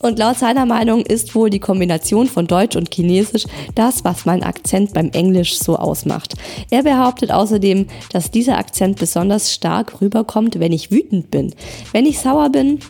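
Husky, gravelly woman chatting on a podcast. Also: mid-range voice, expressive, normal speed, warm tone. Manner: reads listener messages aloud, chatty asides. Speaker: podcast co-host reading listener messages. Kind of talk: casual podcast chat.

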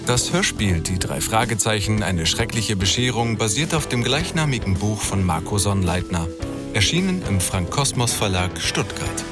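A man's voice: fairly low pitch, sing-song, normal speed. A man announcing production credits in a scripted narration, with guitar music behind him.

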